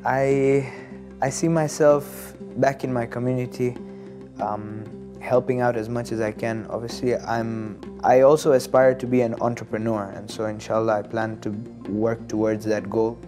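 A man in his early twenties from Tanzania, speaking as an interview guest in a measured, hesitant and earnest way. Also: medium pitch, little pitch movement. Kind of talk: studio interview, personal reflection. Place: studio. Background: plucked guitar music.

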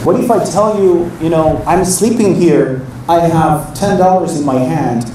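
A man giving a sermon to young listeners, telling stories and asking rhetorical questions, his voice rising for questions and dropping for quiet asides. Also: a little high, unhurried. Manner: animated, swings from loud questions to quiet asides. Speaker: religious speaker addressing young listeners. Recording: very echoey room, far from mic, vehicle noise.

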